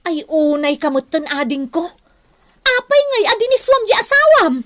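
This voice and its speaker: An actress playing a calm, frank older female relative in a radio drama, reasoning and gently admonishing. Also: very high-pitched, moderate pace, exaggerated voice.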